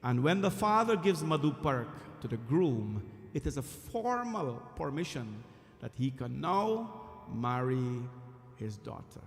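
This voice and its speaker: A man's voice: medium pitch, sing-song. Earnest man preaching in echoey hall. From Guyana. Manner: earnest, emphatic, pausing. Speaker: temple priest. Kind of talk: devotional sermon. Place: temple hall.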